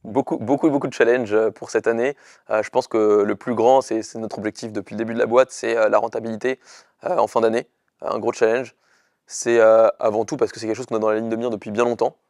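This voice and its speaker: A man's voice: lowish voice, level tone, quick pace, darkish tone, no echo, clean studio sound, soft background music. A young man, a startup chief executive giving an earnest, hesitant interview to camera in short bursts. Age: young adult. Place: indoor studio with dark backdrop. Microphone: lapel mic.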